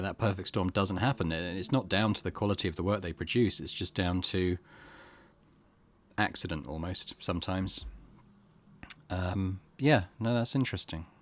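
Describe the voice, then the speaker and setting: A man's voice: sits low, moderate pace. A man giving casual let's play commentary as a gaming host, reflective and hesitant, often trailing off.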